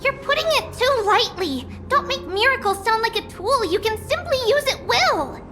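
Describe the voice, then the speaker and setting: A woman voicing a gentle, whimsical young girl in a video game, with a sweet, airy, gently bossy manner. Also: very high-pitched, sing-song, normal speed, full character voice. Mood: gently chiding. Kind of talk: scripted video game dialogue. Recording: prominent game music behind the voice.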